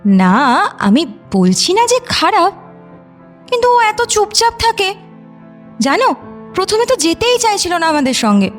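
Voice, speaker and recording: Very high, lively young granddaughter. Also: female voice, measured pace, long pauses, soft background music, heavily acted. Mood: curious, insistent. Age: young adult. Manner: expressive, playfully whiny, mixes in English slang. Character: lively, teasing young granddaughter, playfully indignant college-age woman. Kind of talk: Bengali audio drama acting.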